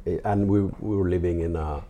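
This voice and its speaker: A 42-year-old man from Finland with a Finnish accent, talking casually and haltingly as an interview guest. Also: sits low, fairly even intonation, mellow. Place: artist's studio.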